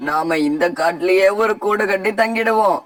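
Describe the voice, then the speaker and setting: A tired but contented male bird played by a man in a children's cartoon story, with a fairly high voice. The sound is dry and slightly off the microphone. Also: normal speed, no room sound, distant mic, lightly acted. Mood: relieved. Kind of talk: animated moral story dialogue.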